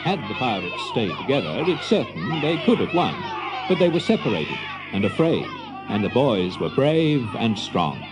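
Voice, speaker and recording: Expressive male storyteller over music. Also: medium pitch, moderate pace, crowd noise. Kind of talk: dramatic scripted storybook narration.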